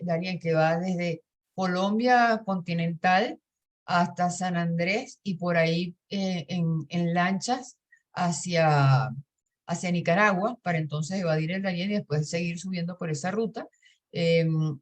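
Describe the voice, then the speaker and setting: Woman panelist, lowish voice, earnest, thinks aloud with fillers and tag questions. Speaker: human rights researcher. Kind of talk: webinar panel talk.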